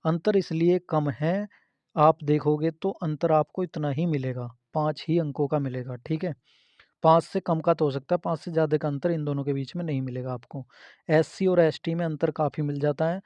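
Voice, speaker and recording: A man's voice: a little high, a little mellow, no room sound. A man giving an informal, instructive explainer, repeating points and checking in with listeners. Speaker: host of an exam information channel. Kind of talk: informal explainer addressed to exam candidates. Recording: dull, muffled lo-fi sound.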